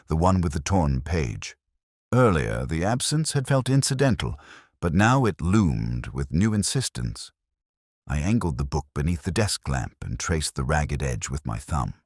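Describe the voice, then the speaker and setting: A man playing a weary, brooding older detective who narrates his case in measured, literary, introspective mystery prose. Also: rumbling low, no room sound, clean studio sound, heavily acted. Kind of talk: scripted first-person mystery narration.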